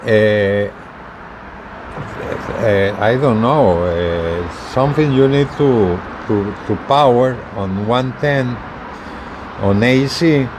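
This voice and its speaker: A man giving a casual product demonstration as a video presenter, talking haltingly and repeating words as he explains. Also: medium pitch, background noise. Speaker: hobbyist video presenter, truck driver.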